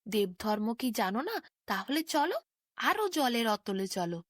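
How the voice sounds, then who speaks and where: Wicked fairy-tale demon, read by a woman: menacing, taunting, fairly high voice, very dry close sound. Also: very animated, measured pace, dry recording. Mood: gloating. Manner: taunting, interrogating, commanding.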